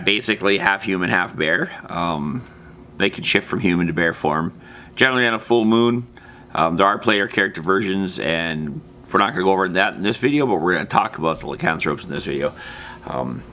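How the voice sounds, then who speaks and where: A man's voice: lowish voice, pushed and bright. A man presenting a casual, unscripted gaming explainer in an informal, slangy way, trailing off into loose asides. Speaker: presenter of a tabletop gaming video series. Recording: faint background noise.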